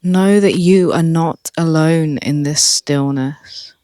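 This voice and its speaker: Super slow calm meditation voice, soft, dry close mic, quiet birds in the back. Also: medium pitch, no room sound. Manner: calm, soft, with long pauses. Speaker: meditation guide.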